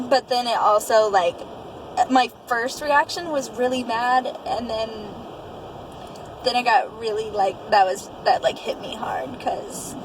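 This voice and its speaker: Casting: young adult woman, higher-pitched, casual candid storyteller, colloquial phrasing, lightly noisy recording. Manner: colloquial, quotes past speech often. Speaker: interview guest telling her own story.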